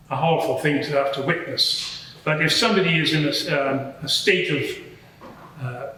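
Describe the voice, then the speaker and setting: A man's voice: on the high side, bright, forceful tone, slight background noise. Man acting a Roman centurion in an echoey courtroom. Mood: matter-of-fact, grim. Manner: hesitant, measured, explanatory. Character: Roman centurion witness, matter-of-fact, shaken soldier. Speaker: witness at a mock inquiry. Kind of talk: semi-improvised courtroom testimony. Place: large reverberant courtroom. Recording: very echoey hall, distant mic.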